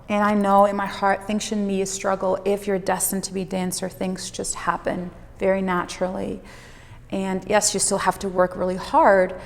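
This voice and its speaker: A woman telling her personal story reflectively, unhurried and emphatic, with dramatic pauses. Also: medium pitch, gravelly, darkish tone. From Netherlands. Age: 40s. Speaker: fitness instructor and speaker. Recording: long reverberant hall, music underneath. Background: music.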